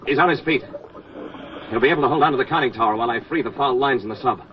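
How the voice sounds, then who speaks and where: An actor playing a brave navy diver giving a terse, urgent running report in a 1940s radio drama. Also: male voice, mid-range voice, very fast, lightly acted. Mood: grave, urgent. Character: brave male navy diver Moran reporting tense undersea rescue. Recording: noisy, dull vintage 1940s radio recording.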